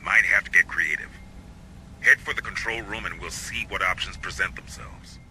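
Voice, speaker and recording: A man playing a steady, confident security officer who guides marines with dry authority in a video game. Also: low-pitched, normal speed, slight background noise. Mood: calm, resolute. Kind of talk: scripted video game dialogue.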